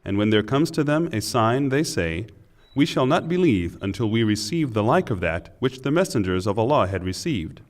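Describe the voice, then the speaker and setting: A man narrating a scripted scripture translation in a measured, formal and solemn manner. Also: on the low side, very animated. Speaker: narrator reading Quran translation.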